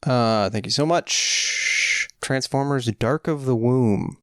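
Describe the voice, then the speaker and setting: A man's voice: mid-range voice, takes it slowly, a bit bright, clean tone, dry recording, studio quality. A man co-hosting a podcast in casual chat, joking and drifting into rambling tangents. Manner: rambling, jokey, riffs on tangents. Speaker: podcast co-host.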